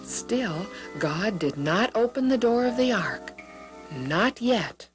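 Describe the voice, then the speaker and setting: A woman narrating children's Bible stories in an expressive, deliberate storybook style with dramatic emphasis on words. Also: medium pitch, over music.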